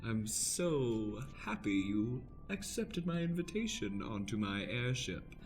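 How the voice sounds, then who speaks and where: A man voicing a vain, flirtatious, faintly sinister airship hostess in an improvised comedic radio play, with theatrical, swooning, drawn-out delivery. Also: fairly low pitch, big pitch swings, soft background music. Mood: seductive.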